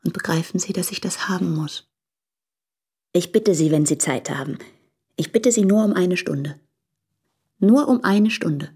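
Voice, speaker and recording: A woman's voice: fairly low pitch, unhurried, pauses often, a little mellow, breathy edge. An actress playing an insistent young woman in love, pleading urgently and intimately in a radio play.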